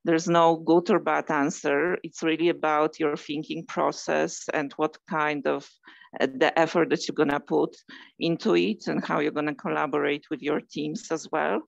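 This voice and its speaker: A woman's voice: deep voice, fairly even intonation, moderate pace, creaky, dry recording, highs cut off. A woman with a Polish accent giving a friendly, hesitant welcome as a course facilitator, with many fillers. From Poland. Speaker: learning facilitator. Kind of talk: recorded course welcome message.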